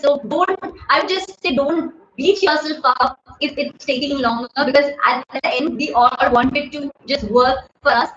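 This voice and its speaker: A high-pitched woman in her thirties with an Indian English accent, talking loudly and chattily into her phone during a home livestream. The bare bedroom gives a strong echo, and the audio is slightly dull with a faint hiss. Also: moderate pace. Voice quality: loud voice. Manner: chatty, laughs often, thanks viewers by name. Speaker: livestream host. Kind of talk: casual live Q&A. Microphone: phone camera at desk distance.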